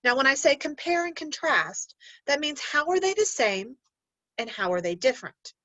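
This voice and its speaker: A woman's voice: sits high, big pitch swings, moderate pace, fairly bright tone, no room sound, rough recording. A woman teacher reading a storybook aloud to young learners, speaking expressively and instructively and addressing the children directly.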